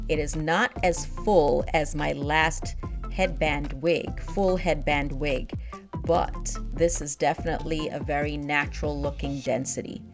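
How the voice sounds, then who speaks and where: A young woman who grew up in Nigeria and the United States, chatting upbeat and laughing often as she reviews a product for her vlog. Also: low-pitched. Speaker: beauty vlogger.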